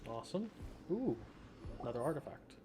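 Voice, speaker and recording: A man's voice: a little high, very animated, brisk. A young man giving casual, joking gameplay commentary as he streams a video game. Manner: joking, offhand. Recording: noticeable background noise, game sound.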